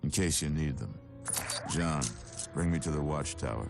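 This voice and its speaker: Terse superhero strategist in a game, very deep fry-laden voice, commanding and grim. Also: male voice, normal speed, loud music behind the voice. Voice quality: gravelly. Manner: terse, authoritative.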